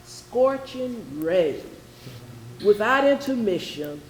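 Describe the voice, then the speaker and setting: A woman acts out an impassioned orator in a readers theatre piece, with a high voice and very slow, declamatory delivery. She pleads in verse, oratorical and grave, in a roomy, echoing space. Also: lively intonation. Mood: impassioned, pleading. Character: impassioned anti-slavery orator, a woman pleading for enslaved people. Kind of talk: theatrical recitation of anti-slavery verse. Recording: roomy echoing stage, faint noise.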